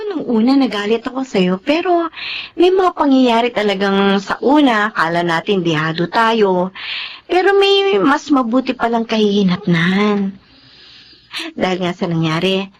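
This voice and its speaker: A woman with a Filipino accent playing a forgiving, composed ex-girlfriend and mother in a TV drama. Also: mid-range voice.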